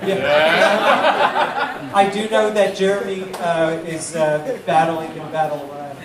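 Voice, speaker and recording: A man's voice: a little high, takes it slowly, heavy background noise. A man emceeing at a live event, making hesitant, jokey announcements full of fillers. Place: event hall with a crowd. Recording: echoey hall with a loud crowd, mic from far.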